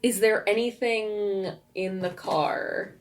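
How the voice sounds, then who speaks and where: A woman's voice: mid-range voice, fairly even intonation, takes it slowly. A woman player in a tabletop roleplaying game, casually improvising as her character and describing her actions.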